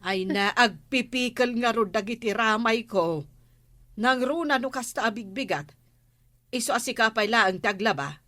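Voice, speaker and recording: A bossy village wife in a radio comedy, nagging with an Ilocano accent. Her voice is high and forceful, close and dry on the microphone. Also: expressive, moderate pace, dry recording. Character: bossy, complaining village wife who dodges the laundry. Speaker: radio drama actor.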